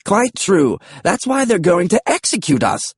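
Storybook pterodactyl, played by a male narrator: courteous British scholar, raised pitch, lively swoops. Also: unhurried, husky, no echo, clean studio sound, exaggerated voice. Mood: earnest, proper. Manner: courteous, prim. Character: polite, scholarly male pterodactyl, mildly put out.

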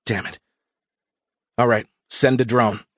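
A man voicing a calm, wry female colonel in an audiobook, with a dry, measured, authoritative delivery. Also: medium pitch, dry recording. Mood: exasperated.

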